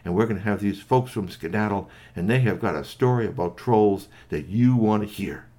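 A man's voice: fairly low pitch, normal speed, warm tone, lightly acted. A man voicing a genial older restaurant keeper in a children's story, folksy and blustering. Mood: jovial, welcoming.

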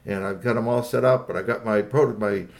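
A man's voice: on the low side, fairly even intonation, fairly quick, creaky. A man voicing a stressed, easily frustrated professor and father in a children's story.

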